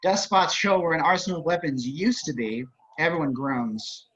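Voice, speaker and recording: A man's voice: a little high, animated, moderate pace, fairly bright tone. A man narrating stage directions for a script table reading over a dull-sounding video call.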